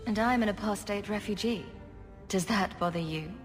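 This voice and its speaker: A woman's voice: medium pitch, moderate pace, close to an everyday voice. An actress playing a witty, self-assured mage adventurer in a video game, teasing and dryly playful in her delivery. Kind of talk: dramatic video game acting. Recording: long reverb, music behind.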